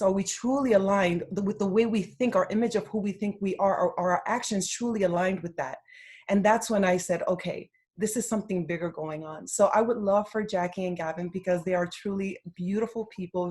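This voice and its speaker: A woman's voice: mid-range voice, darkish tone. A woman moderating an online panel discussion, speaking in long reflective sentences as she thanks guests and brings people into the talk.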